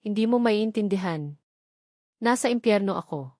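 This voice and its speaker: A woman's voice: mid-range voice, lively intonation, normal speed, dark tone, dry recording, close to an everyday voice. A woman narrator voices a spoiled young heiress in an audiobook drama. She sounds desperate and indignant, complaining plaintively in a dry, close recording.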